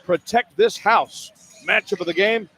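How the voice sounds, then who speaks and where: A man's voice: sits high, unhurried, pushed and bright, slight background noise. An American man hosting a sports radio pregame broadcast as play-by-play announcer, with a polished delivery.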